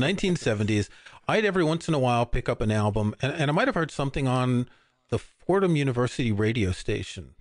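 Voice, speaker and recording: A man's voice: fairly low pitch, dry recording, studio quality. An older man, co-hosting a podcast, chatting casually about music with frequent restarts. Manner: relaxed, rambling, many restarts. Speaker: podcast co-host. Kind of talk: casual chat.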